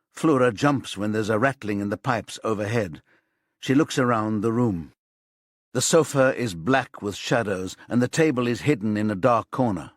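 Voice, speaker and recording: Low-voiced man narrating a suspenseful thriller audiobook. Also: no room sound, studio quality.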